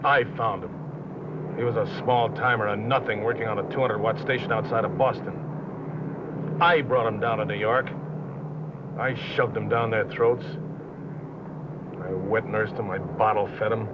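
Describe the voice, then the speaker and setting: Guy playing pushy bitter showbiz manager, old 50s movie, slangy and blunt, muffled sound w/ clear noise behind. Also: on the low side, fairly even intonation, unhurried, long pauses, close to an everyday voice. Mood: bitter, boastful. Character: scheming, bitter, bossy male showbiz manager, wisecracking hustler. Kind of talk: 1950s film drama acting. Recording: muffled, noisy 1950s film soundtrack.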